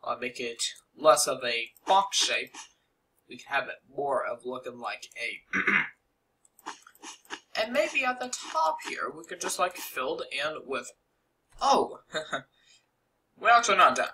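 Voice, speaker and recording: A man's voice: mid-range voice, sing-song, slow pace, pauses often, sharp tone, poor audio. A young man casually narrating a game-building tutorial over a screen recording, rambling with many fillers and asides.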